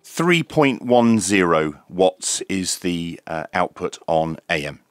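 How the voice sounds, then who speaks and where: A man with a fairly low voice talks very slowly through a hands-on demonstration. He is methodical and matter-of-fact, explaining each step as he goes. The sound is close and very dry, with faint music. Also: very animated, dry recording. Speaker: radio reviewer presenting a demonstration. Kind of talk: unscripted hands-on product demonstration.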